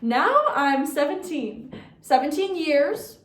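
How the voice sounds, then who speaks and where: Young woman doing dramatic poetry, high voice, slow and deliberate, roomy echo. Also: big pitch swings. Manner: theatrical, deliberate, emphatic phrasing with dramatic pauses. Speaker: competitive speech performer. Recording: roomy, echoing sound.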